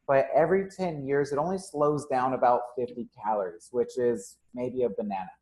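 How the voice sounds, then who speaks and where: Young man, gym owner, casual host, relaxed, frequent um and uh fillers, mid-pitched voice. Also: poor audio. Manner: casual, filler-heavy, upbeat. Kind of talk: live-stream interview.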